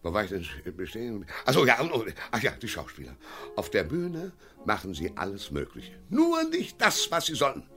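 An actor playing a vain, scornful, ageing theatre director in a radio play, pompous and rambling with theatrical emphasis. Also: male voice, lowish voice, wildly swooping pitch, moderate pace, pushed and bright, heavily acted. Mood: flippant, condescending.

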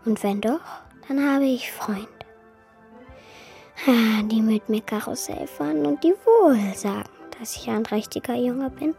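A woman voicing a naive, eager wooden puppet boy in a children's radio play, with childlike, earnest excitement. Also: on the high side, measured pace, vocal fry, soft background music. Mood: dreamy, hushed.

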